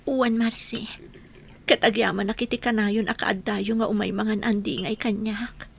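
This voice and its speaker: A woman's voice: medium pitch, fairly even intonation, normal speed, creaky, a little hiss or hum, natural voice. A woman playing a devoted, grieving wife in a radio drama, with a tearful, emotional delivery. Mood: subdued grief.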